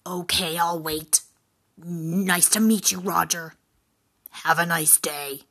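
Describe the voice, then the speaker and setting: A woman voicing a big, terrifying, grumpy troll in a storybook tale, with a gravelly, husky growl and loud delivery. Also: steady tone, heavily acted. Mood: grumbling. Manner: slow, loud, booming delivery.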